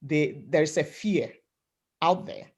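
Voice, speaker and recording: A woman from Africa speaking on a webinar panel, giving an emphatic motivational talk in a loud voice over a video call and often asking "right?". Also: low-pitched, slow, husky. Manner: loud voice, emphatic, repeats "right?" and "you know".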